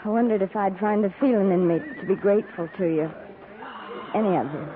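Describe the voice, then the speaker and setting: An actress playing a bitter, wounded, plainspoken frontier widow in a 1950s radio western, with folksy rustic phrasing. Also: female voice, mid-range voice, fairly quick, crowd noise, lightly acted. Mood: subdued, sorrowful. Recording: muffled, tinny 1950s radio recording.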